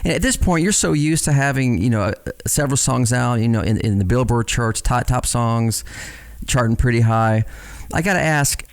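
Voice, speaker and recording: A man's voice: medium pitch, normal speed, vocal fry. A man interviewing a guest in a casual, enthusiastic, fannish way.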